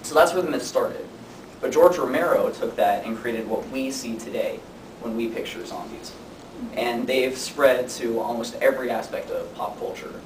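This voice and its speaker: A young man giving an informative student speech in a conversational way with deadpan humor. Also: moderate pace. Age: young adult. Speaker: student speaker. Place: classroom. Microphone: distant camera microphone. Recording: distant mic, echoey room, noisy rumble.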